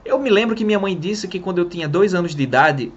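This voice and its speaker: A young man from Brazil explains language learning straight to the camera in a lively, sing-song way. His voice has a middling pitch that swoops up and down with emphasis. The sound is a little dull and lo-fi, as from a webcam in a small room. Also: quick pace, husky. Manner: lively, talks with his hands. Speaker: English teacher. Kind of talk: casual spoken lesson to camera. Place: small room in front of a printed wall.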